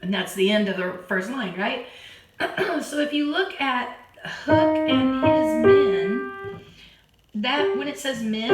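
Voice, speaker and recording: A hoarse woman who keeps clearing her throat, patiently teaching a children's keyboard lesson and counting beats aloud. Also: on the high side. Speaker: piano teacher. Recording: roomy, from a distance. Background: keyboard playing.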